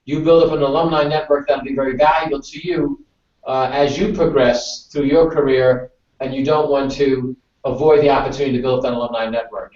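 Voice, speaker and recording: A man's voice: medium pitch, darkish tone. Echoey, distant man advising students. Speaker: organization speaker hosting a student conference call. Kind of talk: semi-formal advisory talk to students. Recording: distant, echoey, muffled conference call.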